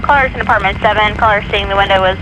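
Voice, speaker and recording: Male radio dispatcher, high-pitched and even, matter-of-fact, through a noisy police scanner. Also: racing. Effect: two-way police radio. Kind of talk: clipped radio dispatch, phonetic call signs.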